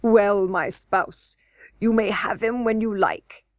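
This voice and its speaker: A henpecked goblin king from a storybook, voiced by a woman a touch lower than her own tone and with an odd, altered timbre. He sounds gruff, weary and grumbling. The sound is dry and close. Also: fairly even intonation, slow pace, no room sound, natural voice. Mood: dismissive. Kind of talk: storybook reading.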